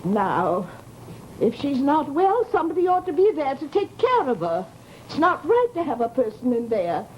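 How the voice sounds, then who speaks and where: A woman's voice: high-pitched, sing-song, moderate pace, a little mellow, a little hiss or hum, low-quality recording. An actress playing a nosy, aggrieved widowed landlady with an American accent in an old-time radio horror drama, talking in a garrulous, dramatic way. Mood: prying, scolding.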